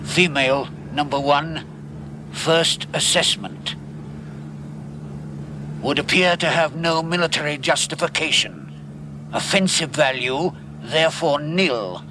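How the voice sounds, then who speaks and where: A cold clipped alien officer. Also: male voice, a little high, slow, pauses often. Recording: noisy archive TV clip.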